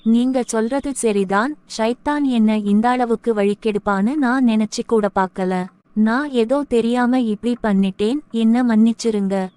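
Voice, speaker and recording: A woman voicing a jealous, scheming younger daughter-in-law in an animated family moral short. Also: fairly high pitch, moderate pace, clear, resonant tone, dry recording, natural voice. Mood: remorseful. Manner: resentful, plotting, speaks thoughts aloud.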